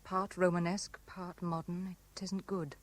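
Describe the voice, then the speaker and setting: A woman's voice: on the low side, little pitch movement, moderate pace, natural voice. A British actress playing a troubled, enigmatic, wistful young woman in a radio drama. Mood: brooding.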